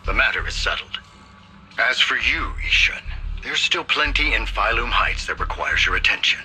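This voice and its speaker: An actor playing a firm, pragmatic city mayor in a video game, speaking formally and decisively. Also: male voice, mid-range voice, animated, normal speed, bright, forceful tone, faint music behind, rough recording.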